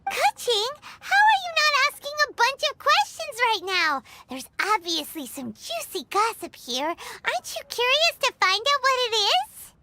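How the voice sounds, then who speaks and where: An actress voicing a tiny, nosy, excitable female sprite companion who chatters and gossips. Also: unhurried, few pauses, background noise, heavily acted. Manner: chatty, excitable, swooping pitch.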